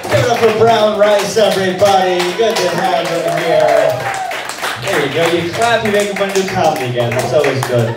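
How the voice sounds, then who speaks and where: A man hosting a comedy show, working the crowd in a loud, hyped voice pitched a little high. He is heard from far back in a bar, with heavy echo, a roaring audience and loud room noise around him. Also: normal speed, loud music behind the voice. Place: bar stage with a live audience.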